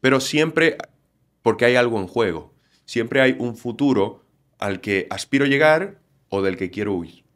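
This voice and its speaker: A Dominican man with a Galician-tinged Spanish accent, explaining things in a lively way as a guest on an interview podcast. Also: mid-range voice, measured pace. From Dominican Republic. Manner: animated, explanatory, acts out quotes. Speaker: communication trainer, guest.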